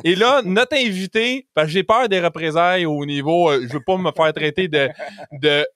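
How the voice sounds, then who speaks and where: A man with a Quebec French accent co-hosting a podcast in casual chat, halting as he searches for words. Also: sits high, fairly bright tone, no room sound, studio quality. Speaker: podcast co-host.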